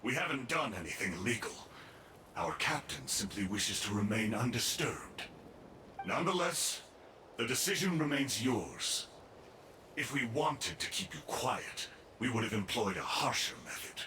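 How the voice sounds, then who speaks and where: An actor playing a formal, composed soldier in a video game, measured, courteous and firm. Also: male voice, medium pitch, expressive, sharp tone, slight background noise. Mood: firm, cool.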